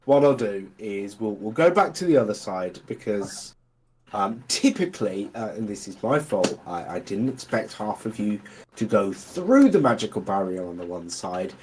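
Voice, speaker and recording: A man narrating as dungeon master in a casual, hesitant way, with many pauses and fillers. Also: lowish voice, very animated, a little mellow, slight background noise. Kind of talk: narrating a tabletop game.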